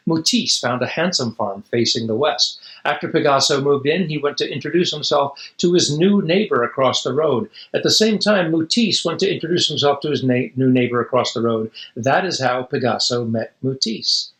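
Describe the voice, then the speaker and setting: A man's voice: mid-range voice, fairly bright tone, roomy sound, rough recording. A man reading a children's picture book aloud expressively, with dramatic emphasis and casual asides. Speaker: storybook reader.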